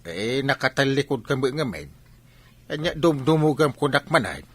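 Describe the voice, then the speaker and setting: A man's voice: mid-range voice, sing-song, moderate pace, a bit bright, husky. A man with a Filipino accent playing a grumbling old village grandfather in a comedy radio drama. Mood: startled, grumbling.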